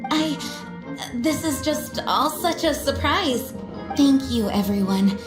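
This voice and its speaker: A woman's voice: sits high, expressive, slow pace, reverberant, over music. A woman playing a dutiful young knight commander in a video game, polite, composed and earnest. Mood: touched, flustered.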